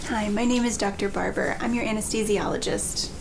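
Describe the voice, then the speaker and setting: An actor playing a woman doctor who speaks to patients in a calm, reassuring, clinical way in a training dramatization. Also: medium pitch, brisk, background noise, close to an everyday voice. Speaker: physician in a role-play.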